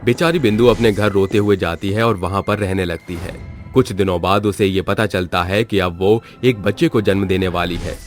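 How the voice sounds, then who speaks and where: A man's voice: low-pitched, normal speed, soft background music. A man narrating a scripted moral story as its storyteller.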